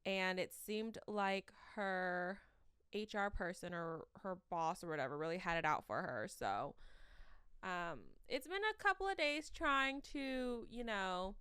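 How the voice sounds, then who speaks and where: A young woman hosting a casual solo podcast from the corner of her bedroom, with a shrill voice, rambling and self-mocking between fillers, sleepy. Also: fairly bright tone, clear, resonant tone, no room sound, studio quality. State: sleep-deprived. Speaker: podcast host.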